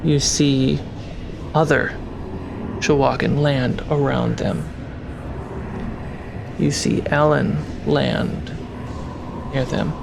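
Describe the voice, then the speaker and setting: A game master narrating a tabletop fantasy game with deliberate dramatic pauses. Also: medium pitch, steady tone, creaky, noisy background. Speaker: game master and show host. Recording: background music and rain sounds under voice.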